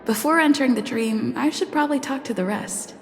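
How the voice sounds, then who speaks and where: An actress voicing an unhurried young woman traveler in a video game. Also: high voice. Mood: reflective. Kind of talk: English video game voice acting. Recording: faint music behind the voice.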